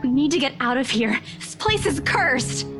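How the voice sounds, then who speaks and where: A scared young agent in a game, high voice that jumps all over, kinda pleading, music soft behind. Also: female voice, expressive, normal speed. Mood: urgent. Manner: anxious, pleading. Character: frightened, insistent young real estate agent. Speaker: character in a visual novel. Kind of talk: game voice acting. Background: faint game music.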